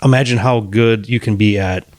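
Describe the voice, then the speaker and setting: A man co-hosting a podcast, talking casually about bands in halting sentences, often restarting and swearing offhand. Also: on the low side, steady tone, dry recording. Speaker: podcast co-host. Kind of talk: casual chat about music.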